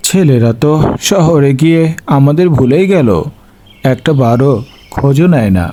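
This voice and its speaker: A man's voice: mid-range voice, normal speed, dark tone, natural voice. A man voicing a lonely, doting old village father in a cartoon story, with a plaintive manner. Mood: hurt, wistful.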